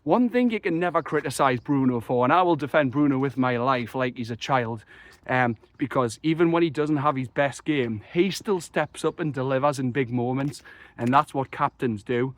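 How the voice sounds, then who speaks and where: A young man, croaky after a chest infection, talking passionately and rambling through a football match reaction. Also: mid-range voice, moderate pace, no room sound. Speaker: football fan vlogger. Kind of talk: excited solo match reaction.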